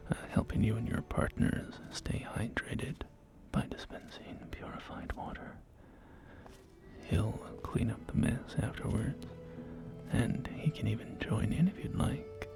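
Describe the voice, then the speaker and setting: Guy doing a polite post-apocalyptic house salesman, asmr whispering, gravelly and slow, breathy, game music behind. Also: fairly low pitch. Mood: smooth, suggestive. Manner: courteous, gently salesy, hesitant pauses. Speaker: ASMR roleplay performer. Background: music and game audio.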